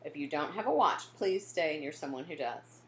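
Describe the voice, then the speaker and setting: A woman voicing a brisk female schoolteacher in a children's storybook, giving instructions to a class. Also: sits low, animated, reverberant, a little hiss or hum. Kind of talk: children's storybook character dialogue.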